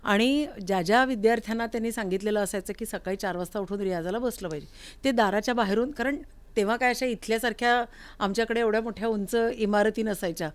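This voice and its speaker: A woman's voice: mid-range voice, quick pace, few pauses, no echo. A woman hosting a conversational interview, asking questions and telling anecdotes. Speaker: interview host. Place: recording studio.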